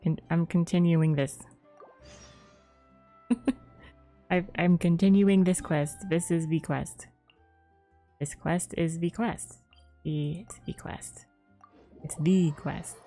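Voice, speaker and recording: Slow, low-voiced woman streamer. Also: fairly even intonation, dark tone. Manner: rambling, playful. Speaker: game streamer. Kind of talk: casual live gameplay commentary. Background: faint game music.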